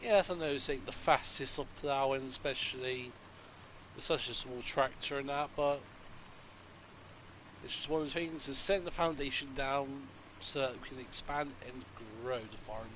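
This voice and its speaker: A man's voice: medium pitch, fairly even intonation, moderate pace, fairly bright tone, a little hiss or hum. A man with a stuffy nose from a cold, casually thinking aloud as he plays a farming game for his channel. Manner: rambling, thinking aloud while playing. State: congested from a cold. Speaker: gaming channel host. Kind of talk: casual gameplay commentary.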